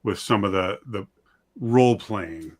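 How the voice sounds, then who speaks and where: A man talking in a casual discussion, conversational and halting, telling things as anecdotes. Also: fairly low pitch, a little mellow.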